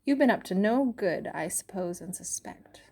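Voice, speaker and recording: A woman's voice: mid-range voice, lively intonation, normal speed, a little mellow, husky. A woman voicing a blunt male friend who accuses the sufferer of sin. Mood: accusing.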